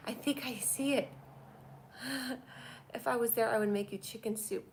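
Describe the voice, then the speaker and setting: A woman's voice: on the high side, steady tone, measured pace, a little hiss or hum. A woman with a cold and a stuffy voice, hosting a comedy live stream and chatting through viewer comments on a tablet. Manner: chatty and rambling, reacting to comments. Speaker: comedy show host doing a live stream.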